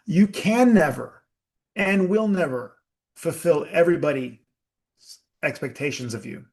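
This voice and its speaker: A man leading a live group workshop, talking slowly and emphatically and putting questions to his audience. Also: fairly high pitch, expressive, gravelly, darkish tone. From United States. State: sweating. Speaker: workshop leader.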